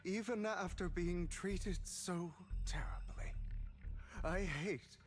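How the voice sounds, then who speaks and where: A male actor playing a wounded, resolute young man in a video game, quietly bitter and measured, with whispered turns. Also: high voice, slight background noise. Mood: pained, bitter. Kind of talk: dramatic video game voice acting. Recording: clear background music from game.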